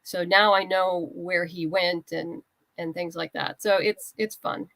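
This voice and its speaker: A woman with a lowish voice talks as a guest in an interview. She explains her writing in a conversational way. The sound is dry and free of room echo. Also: steady tone, a little slow, creaky, fairly bright tone. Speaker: guest writer being interviewed. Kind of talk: conversational interview answers about own work.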